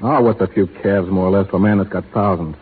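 A man's voice: low voice, fairly even intonation, fast talker, slightly rough. A man acting a defiant, carefree cattle rustler in a 1930s radio drama, with a breezy, folksy American Western way of talking. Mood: evasive, defiant. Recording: muffled, noisy 1930s radio broadcast.

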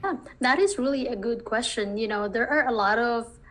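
Woman, slightly high voice, full of fillers. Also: normal speed, fairly bright tone. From Philippines. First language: Filipino language. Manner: hesitant, many restarts and "you know" fillers. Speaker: virtual assistant business owner. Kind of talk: interview answers.

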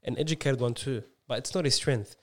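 A man telling stories in casual, animated, self-mocking podcast banter. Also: medium pitch, a little fast. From Australia, Lebanese background. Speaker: podcast co-host.

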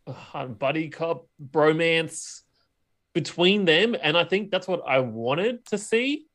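Casually chatting man, slightly higher voice. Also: lively intonation, slow, fairly bright tone. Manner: conversational, checks in with rhetorical questions. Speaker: podcast co-host. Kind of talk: casual movie review chat.

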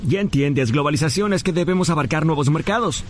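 A man's voice: fairly high pitch, animated, brisk, a little hiss or hum, natural voice. An actor playing an earnest man who puts on stilted, formal neutral speech in a comedy radio sketch. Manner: stilted, affected formal phrasing. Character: earnest man affecting foreign neutral Spanish for global markets.

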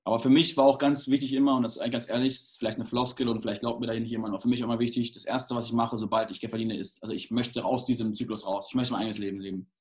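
A man's voice: medium pitch, flat delivery, rapid-fire, hardly any pauses, darkish tone. A young man from England, the guest in a casual interview, talking hesitantly with many restarts and fillers.

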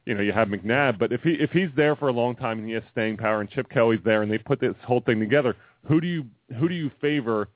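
A young man with a booming, bassy voice talks sports on the radio in a loose, rambling way, often restarting his sentences. His voice sits in a middle range. The sound is dry and thin, like a phone line. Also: quick pace, few pauses, no echo. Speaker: radio co-host and girls basketball coach. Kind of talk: casual sports radio chat.

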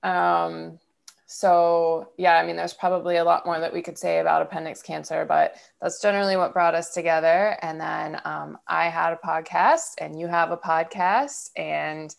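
A woman's voice: on the low side, steady tone, moderate pace, gravelly. A woman from Ohio hosting a podcast, talking casually and rambling with fillers and laughs. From Ohio, USA. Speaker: podcast host. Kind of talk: casual conversation.

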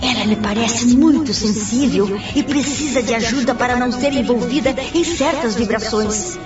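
Radio drama: actress as gentle grandmother spirit guide, instructive and serene; echoey, dull recording. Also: a little high, moderate pace, close to an everyday voice. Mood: calm, instructive. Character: calm, wise grandmother spirit guiding her grandson. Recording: dull, distant, echoey radio play with music.

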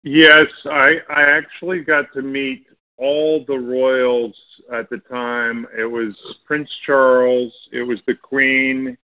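Middle-aged male guest, relaxed anecdotal delivery, muffled lo-fi sound. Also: medium pitch, slow pace. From United States. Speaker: guest actor. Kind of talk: casual interview chat.

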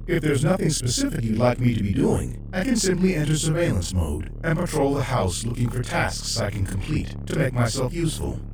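A man's voice: medium pitch, very animated, a little fast, few pauses, darkish tone, reverberant, far from the mic, faint music behind. A man playing a cheerful, eager-to-please household drone robot in a children's audio drama, polite and helpful, with a processed robotic voice.

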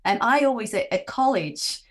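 A woman hosts a podcast, warmly affirming her guest with short responses. When she talks at length she muses aloud, circling her ideas with restarts before she lands on a question. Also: a little high, lively intonation, normal speed. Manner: encouraging, affirming, musing aloud. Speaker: podcast host. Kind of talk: conversational podcast interview.

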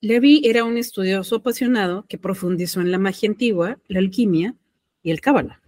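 Woman tossing in quick remarks. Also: medium pitch, darkish tone, dry recording, clean studio sound. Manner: quick interjections and teasing remarks. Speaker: podcast co-host. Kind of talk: casual podcast chat.

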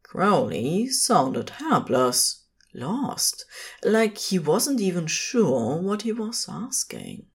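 A woman narrating scripted fiction as a storyteller, in a measured, phrase-by-phrase manner. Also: lowish voice, sing-song, breathy edge.

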